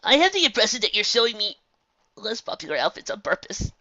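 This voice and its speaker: A woman playing a jittery young colt from a pony storybook, pitched high and stumbling over his words. He comes across as flustered and unsure. The take is dry, with a slightly dull sound. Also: sing-song, fast, bright, forceful tone, dry recording. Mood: suspicious. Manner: flustered, hesitant.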